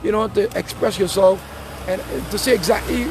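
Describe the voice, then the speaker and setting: A man's voice: high-pitched, moderate pace. A man hosting a livestream interview, prompting his guest with short questions. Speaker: livestream host. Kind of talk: street interview. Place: outdoors on a street. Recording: street noise. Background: traffic and passing planes.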